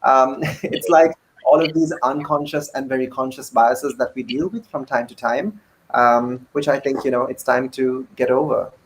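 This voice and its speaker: A man speaking as an actor on a panel, measured and thoughtful. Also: mid-range voice, fairly even intonation, slight background noise, poor audio. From Sri Lanka. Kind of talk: reflective interview talk.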